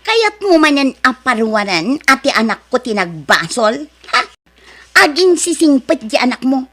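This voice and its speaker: A woman's voice: high voice, sing-song, measured pace, fairly bright tone. A middle-aged woman with an Ilocano accent acting a proud, scornful rich mother in an audio drama. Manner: haughty, scornful, dismissive.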